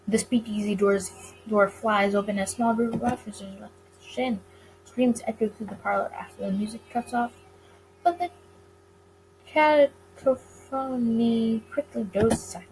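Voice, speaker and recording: A woman's voice: a little high, steady tone, measured pace, long pauses, darkish tone, faint music behind, low-quality recording. A woman reading visual novel text aloud dramatically, with casual asides of her own.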